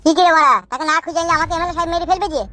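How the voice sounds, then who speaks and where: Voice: very high-pitched, very fast, very noisy. A performer voicing a sulky, evasive young husband in a comic cartoon, argumentative and casually cursing. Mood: worried.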